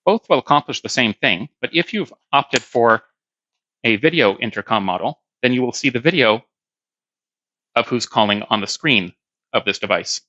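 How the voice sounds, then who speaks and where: A man's voice: medium pitch, lively intonation, moderate pace, pushed and bright, no echo, muffled recording. A man giving a hands-on product demonstration, explaining plainly step by step.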